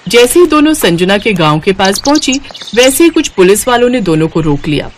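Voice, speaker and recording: Woman narrating a moral story, mid-pitched. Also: sing-song, fairly quick, a little hiss or hum.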